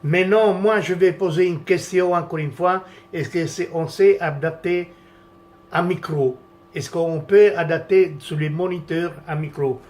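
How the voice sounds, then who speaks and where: A man's voice: high-pitched, steady tone, measured pace, darkish tone. A man whose first language is Italian, talking through a camera monitor setup in a casual, hesitant way with many fillers and restarts. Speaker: vlogger reviewing camera gear. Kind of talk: casual product tutorial.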